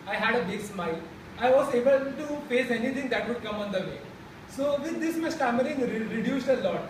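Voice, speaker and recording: A young man who stammers and restarts words, giving a personal speech to a support group in a classroom. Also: extremely high pitch. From India. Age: young adult. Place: classroom with a chalkboard. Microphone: far camera. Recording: echoey room from far off, background noise.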